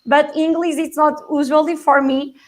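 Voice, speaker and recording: A woman with a Portuguese accent, a municipal representative speaking hesitant, halting English on an online panel. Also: high-pitched, level tone, a little mellow. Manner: halting non-native English, laughs often.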